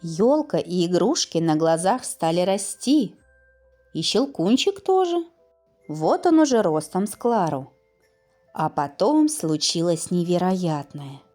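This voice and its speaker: A woman reading a scripted children's fairy tale in an expressive, deliberate storytelling manner with dramatic pauses. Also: lowish voice, faint music behind.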